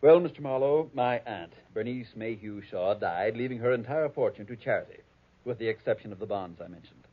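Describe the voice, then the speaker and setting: An actor playing a prim, conniving, avaricious heir, speaking formally and precisely in 1940s radio style. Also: male voice, on the low side, animated, a little fast, husky, no echo. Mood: formal, smooth. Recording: thin, phone-like old radio recording.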